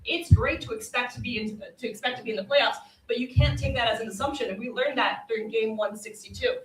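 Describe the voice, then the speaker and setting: Female panelist, higher-pitched, quick and emphatic, recorded at a distance. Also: steady tone. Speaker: sports journalist and panelist. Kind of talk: live panel sports discussion. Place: live convention panel stage. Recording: echoey live room, distant from mic.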